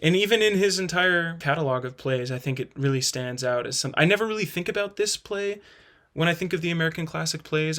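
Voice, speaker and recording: A man's voice: medium pitch, fairly bright tone. A man hosting a ranking video, giving casual, enthusiastic review commentary in an informal way. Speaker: host of a play-ranking video.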